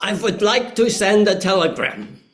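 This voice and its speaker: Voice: medium pitch, unhurried, lightly acted. A performer playing an indignant, loyal Union merchant in a historical play reading, speaking in a formal, declamatory way. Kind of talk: play table reading, period speech.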